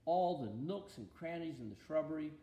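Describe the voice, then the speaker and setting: A man reading a children's Bible storybook aloud with an expressive, deliberate storytelling manner. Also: fairly high pitch, roomy sound, highs cut off.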